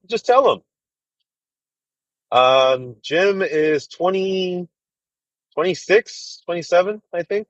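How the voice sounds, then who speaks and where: A man's voice: medium pitch, dry recording, lo-fi sound. Laid-back man in his thirties, dry sound. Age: 30s. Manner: laid-back, rambling, full of fillers and "dude". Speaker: truck driver livestreamer. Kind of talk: casual livestream chat with viewers. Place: inside a truck cab.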